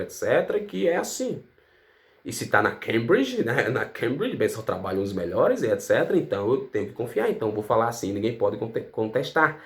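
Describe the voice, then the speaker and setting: A Brazilian man talks through a casual online lesson, throwing rhetorical questions at the viewer. He tags his sentences with a quick "tá?" and keeps an informal teaching tone. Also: mid-range voice. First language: Portuguese. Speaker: language teacher.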